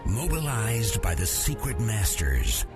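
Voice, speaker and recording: A man reading a dramatic scripted show intro in a portentous, drawn-out way. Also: lowish voice, very animated. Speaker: intro announcer. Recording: loud music under the voice. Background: loud music.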